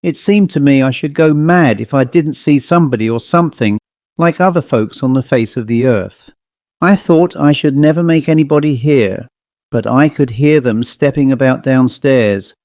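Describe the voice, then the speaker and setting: A man reads a ghost story as a scripted first-person narration. His voice is mellow and softly toned, captured close and dry. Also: medium pitch, no room sound. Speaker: audiobook narrator.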